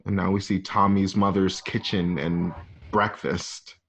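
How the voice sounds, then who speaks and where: A man's voice: low voice, little pitch movement, unhurried, creaky, a bit bright, a little hiss or hum. A man narrating a screenplay's stage directions for an audio drama table read, recorded at home.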